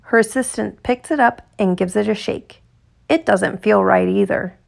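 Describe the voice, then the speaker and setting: A woman reading a children's picture book aloud in an expressive, deliberate storytelling manner, stretching words for emphasis. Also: medium pitch, vocal fry. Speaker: storytime reader.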